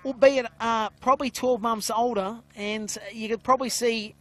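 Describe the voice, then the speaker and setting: A man giving loud, excited play-by-play commentary on a rugby league match from an outdoor ground. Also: very high-pitched, normal speed. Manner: loud voice, calls play excitedly, many "uh" fillers.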